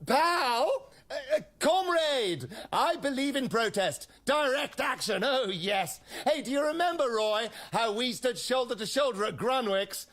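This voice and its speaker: A harassed university lecturer in a radio comedy. His voice is high for a man and swings widely in pitch. He is brusque, sarcastic and full of bluster. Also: lively intonation, a little slow, a bit bright, full character voice. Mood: pleading, calling out. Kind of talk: radio comedy drama acting.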